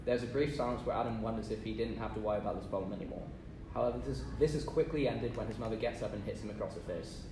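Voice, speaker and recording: A man's voice: lowish voice, quick pace. A man narrating stage directions at a script table read. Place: echoey room, off-mic. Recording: echoey, noisy, distant microphone.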